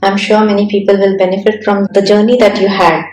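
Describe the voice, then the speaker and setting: A woman's voice: mid-range voice, little pitch movement, fairly quick, darkish tone, echoey room, soft background music, poor audio. A woman hosting an interview, prompting her guest with encouraging questions. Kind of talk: interview host.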